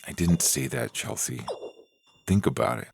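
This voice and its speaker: An actor playing a hesitant, rule-trusting young fiancé in an audio story. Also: male voice, lowish voice, lively intonation, normal speed, vocal fry, breathy edge, heavily acted. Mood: hesitant, reasoning. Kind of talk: dramatic reading of audio fiction. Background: faint music.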